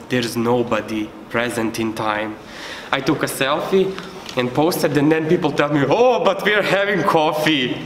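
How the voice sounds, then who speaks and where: Man in a very echoey hall. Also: medium pitch. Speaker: youth network member. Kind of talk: personal anecdote. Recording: very echoey hall, faint noise.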